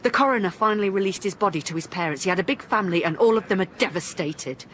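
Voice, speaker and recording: A woman's voice: mid-range voice, quick pace, a bit bright, natural voice. An actress playing a blunt, dogged female police inspector in a naturalistic radio drama, terse and authoritative. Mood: defensive, firm.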